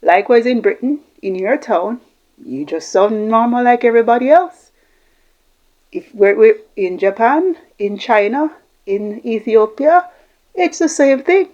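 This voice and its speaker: A woman's voice: a little high, lively intonation, measured pace, darkish tone. Woman coaching, lo-fi sound. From Jamaica. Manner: rambling, builds long lists of examples. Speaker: communication coach. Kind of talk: unscripted talk to camera. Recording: slightly dull lo-fi recording.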